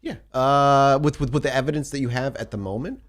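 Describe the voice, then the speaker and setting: A man's voice: medium pitch, brisk. A man working as game master, improvising narration and talking rules in a tabletop roleplaying game.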